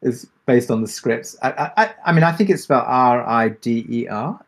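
A man with a mid-pitched voice talks casually as part of a creative panel. He explains things in a meandering way, pausing and restarting as he finds the words. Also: lively intonation. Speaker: audio drama creator on a Q&A panel.

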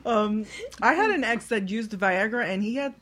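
Woman host, halting casual talk. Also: a little high, expressive, a crowd in the background. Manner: halting, searching for words. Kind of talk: casual explicit panel chat.

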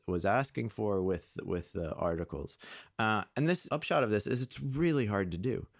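Man, fairly deep voice, conversational interview guest, halting explanatory delivery, very little room sound. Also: dry recording, studio quality. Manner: halting, explanatory, quotes imagined dialogue. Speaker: interview guest, product manager.

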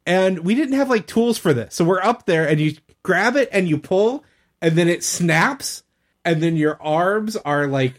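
A man's voice: high voice, animated, a little slow. A man telling anecdotes in a casual, chatty podcast conversation. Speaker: podcast regular telling stories.

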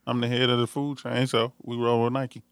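A man's voice: mid-range voice, level tone, brisk, clear, resonant tone, dry recording, studio quality. A man with an American accent speaking as a podcast guest in casual, matter-of-fact, slangy sports chat.

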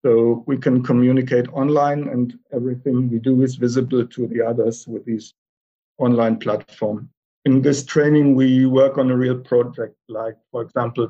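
A man speaking as a panel presenter, plain and halting, with restarts. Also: mid-range voice, dark tone, dull audio. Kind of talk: casual presentation.

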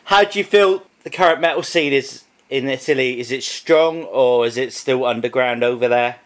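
A man's voice: medium pitch, moderate pace. Male interviewer asking direct questions. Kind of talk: reading prepared questions.

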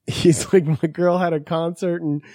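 A man's voice: on the high side, level tone, slow, mellow, no echo. A man in his forties, a podcast co-host, chatting casually on a studio mic, crude and joking, swearing a lot. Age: 40s. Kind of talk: casual profane chat.

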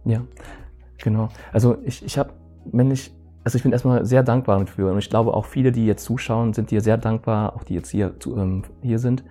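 A man hosting an interview, thanking his guest and asking gentle follow-up questions. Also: on the low side. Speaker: host.

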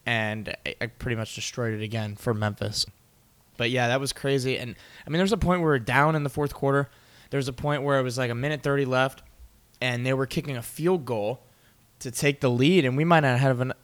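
A young man co-hosting a casual sports podcast, telling game stories in an animated way and laughing often. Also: mid-range voice, fairly quick. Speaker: podcast co-host.

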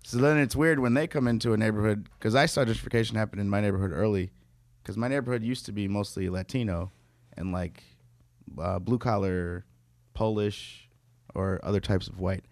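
Low gravelly man chatting loosely. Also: fairly even intonation, a little fast, no echo, studio quality. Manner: loose and joking, lots of fillers, laughs between lines. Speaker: guest. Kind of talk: casual chat.

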